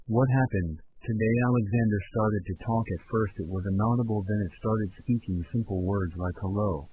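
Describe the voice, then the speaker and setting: A man acting as a male researcher with a fairly low voice records test logs for a fictional audio drama. His delivery is formal and report-like, in short clipped entries. A clear hiss and hum runs under the recording. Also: normal speed, warm tone, close to an everyday voice, poor audio. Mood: formal, matter-of-fact. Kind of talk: scripted audio log, found-recording fiction.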